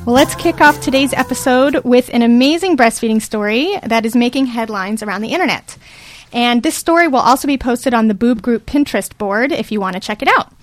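A woman's voice: on the high side, lively intonation, fairly quick, soft background music. A woman hosting a podcast panel in a studio, talking in a friendly, encouraging way. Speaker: podcast host. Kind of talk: casual panel discussion. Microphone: studio microphone.

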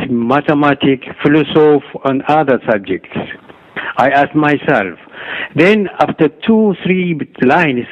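A middle-aged man with an Ethiopian accent, a doctor and author giving interview answers in a halting way, often repeating and restarting words. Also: fairly high pitch. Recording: dull lo-fi radio recording.

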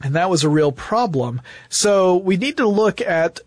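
An American man talks as a podcast host in a fairly high male voice. He is chatty and wordy, with a playfully mock-grand way of putting things. The sound is dry, with no room around it. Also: expressive, a little slow, no room sound. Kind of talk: conversational podcast hosting.

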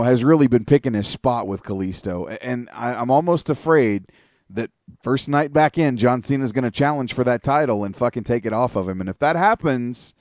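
A podcast co-host rambles through wrestling results, dropping curse words into nearly every sentence. His voice sits at a middling pitch for a man, and it is recorded dry, with no room sound around it. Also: a little mellow, no echo. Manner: profane, opinionated, rambling. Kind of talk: casual wrestling chat.

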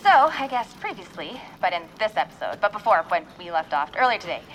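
A woman playing a bumbling, flustered radio show host in an audio comedy, halting and correcting herself. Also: mid-range voice, big pitch swings, fairly bright tone, soft background music, rough recording. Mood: cheerful, flustered. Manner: halting, muddled, self-correcting.

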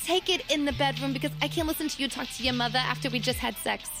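A brash young woman in an audio drama, with a fairly high voice. She is blunt and breezy, with faint music behind her. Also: fast talker. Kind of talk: modern audio-drama comedy dialogue.

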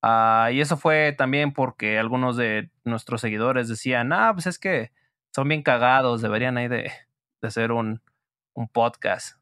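A man's voice: medium pitch, normal speed, clear, resonant tone, no echo, clean studio sound. A man with a Mexican accent chatting casually as a guest in an interview, slangy and full of fillers. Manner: relaxed, slangy, many fillers. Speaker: guest, co-creator of a Spanish-learning channel.

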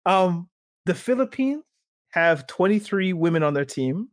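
A man in casual sports talk, his voice sitting a little high. He rambles with lots of restarts, fillers and lively asides, like a podcast co-host thinking aloud. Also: unhurried.

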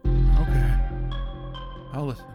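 An actor in an audio drama playing a drugged male captive under brainwashing, with a halting, dazed, suggestible delivery. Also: over music, natural voice. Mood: dazed, compliant.

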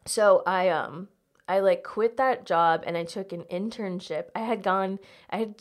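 A woman's voice: medium pitch, a little slow. A young American woman telling personal stories in a casual chat, informally, peppering her sentences with "like" and swearing freely. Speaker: podcast guest, comedian.

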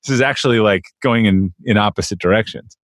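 A man's voice: on the low side, brisk, dry recording. A man hosting an interview, musing aloud and repeating words as he thinks. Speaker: podcast host. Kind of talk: casual interview conversation.

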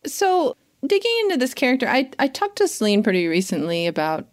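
A woman's voice: a little high, wildly swooping pitch, brisk, no room sound, studio quality. A woman interviewer asking a long, careful question and listening attentively. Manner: attentive, frequent soft backchannels.

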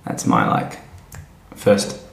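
A man from Western Australia with an Australian accent, chatting casually as an interview guest. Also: slow pace. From Fremantle, Western Australia. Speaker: interview guest, tour operator. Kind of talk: casual chat. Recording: roomy, echoey sound.

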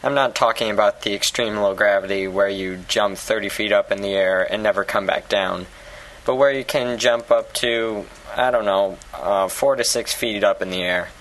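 Male listener, lowish voice, recording a prepared question for a podcast. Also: moderate pace, fairly bright tone, poor audio.

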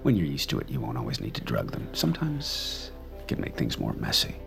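An actor playing a sinister, controlling male killer who coaxes and instructs his protégée with unhurried menace in an audio drama. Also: rumbling low, wildly swooping pitch, vocal fry, over music, exaggerated voice. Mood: instructing.

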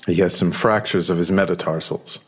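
An actor playing a stern, suspicious hospital doctor, blunt and probing, in a podcast audio drama. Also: male voice, deep voice, steady tone, brisk, husky. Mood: clinical, probing. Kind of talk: full-cast audio drama.

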